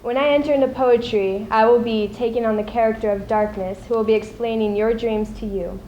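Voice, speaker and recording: A high-pitched teenage girl delivers a prepared speech in a formal, rehearsed way. The room echoes and there is faint noise. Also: steady tone, a little fast, warm tone, dull audio. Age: teens. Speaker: student speech performer. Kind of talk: prepared competition speech introduction. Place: echoey indoor room before an audience.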